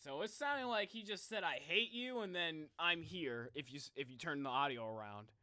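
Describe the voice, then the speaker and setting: A young man reacting on a live stream in casual, slangy chat, excitable and thinking out loud. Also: fairly high pitch, moderate pace, no echo. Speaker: streamer reacting to game videos.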